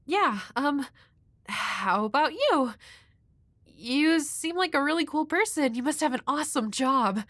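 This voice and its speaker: A woman playing a shy, flustered young neighbor in an intimate audio roleplay, speaking nervously and haltingly to the listener. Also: high-pitched, lively intonation, a bit bright, dry recording, clean studio sound. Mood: shyly chatty. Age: young adult. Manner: nervous, halting, hesitant with stammers. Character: shy, flustered young woman next door, awkward and sweet.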